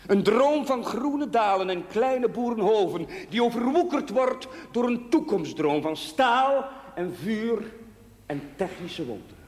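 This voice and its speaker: An actor playing a devout village priest in a television drama, preaching solemnly in measured, emphatic cadences. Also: male voice, high-pitched, animated. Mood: wistful, rhetorical. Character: devout male village priest bidding his parish farewell. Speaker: parish priest. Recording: echoey church hall reverb, background hiss.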